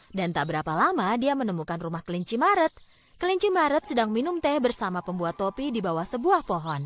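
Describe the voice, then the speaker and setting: A woman narrating a scripted fairy tale for children, expressively and with deliberate phrasing. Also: mid-range voice. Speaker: storyteller. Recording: background music.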